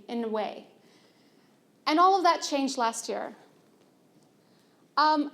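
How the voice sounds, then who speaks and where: A young adult woman, soft-spoken and earnest, telling a personal story on stage at a conference, with fillers and restarts. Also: on the high side. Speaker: conference speaker.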